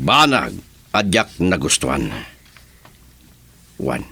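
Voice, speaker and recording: An actor playing a sly, scoffing boss who talks business with a crony, in an Ilocano radio drama. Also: male voice, sits low, creaky, sharp tone, breathy edge, exaggerated voice.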